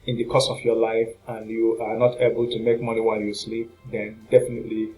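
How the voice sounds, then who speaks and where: A man's voice: fairly low pitch, completely flat delivery, brisk, mellow, soft background music, poor audio. A man hosting an unscripted online product review, speaking to viewers in a salesy, repetitive and hesitant way. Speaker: online marketing product reviewer and channel host.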